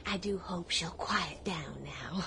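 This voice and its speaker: A woman's voice: fairly low pitch, slow pace, gravelly, sharp tone, slight background noise, heavily acted. An actress playing an anxious, lonely, indulgent middle-aged mother with an American accent, fretful and plaintive, in a radio drama.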